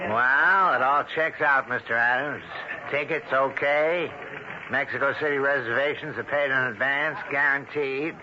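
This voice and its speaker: Sharp, businesslike agent Mister Grady. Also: male voice, mid-range voice, measured pace, a little hiss or hum. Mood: matter-of-fact. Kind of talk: vintage radio drama acting. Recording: muffled old radio recording.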